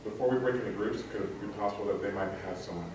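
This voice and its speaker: Low-voiced man, halting, in echoey room. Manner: halting, many fillers. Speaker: community liaison officer. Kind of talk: community meeting remarks. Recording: echoey room, distant mic, noisy.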